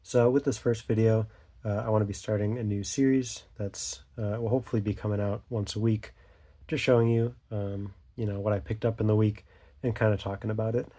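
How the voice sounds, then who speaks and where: A man's voice: lowish voice, level tone, a little fast, warm tone. A young man hosting a casual unscripted vlog, a little stuffy from a cold, talking hesitantly with lots of fillers and half-finished thoughts. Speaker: channel host.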